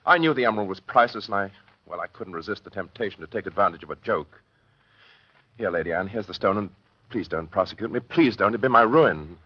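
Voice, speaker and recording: An actor playing a nervous, contrite gentleman researcher in an old radio mystery, stammering and pleading as he talks. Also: fairly low pitch, fast talker, slightly rough. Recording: dull, muffled old radio recording.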